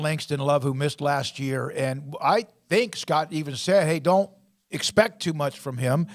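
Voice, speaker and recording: A man hosts a sports radio show and asks friendly, wandering questions, restarting himself as he goes. His voice sits high for a man.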